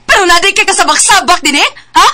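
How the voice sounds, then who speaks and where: A woman's voice: extremely high pitch, animated, fast, sharp tone, slight background noise, heavily acted. An actress playing a hurt young woman with a jealous streak in a radio drama. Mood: furious. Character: wounded young woman, guarded, fiercely jealous when betrayed. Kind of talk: radio drama acting and first-person narration.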